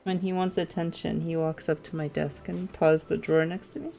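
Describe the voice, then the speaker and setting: A woman's voice: low-pitched, flat delivery, a little fast, gravelly, mellow. A woman streaming a video game with casual, informal, giggly reaction commentary. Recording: game music behind.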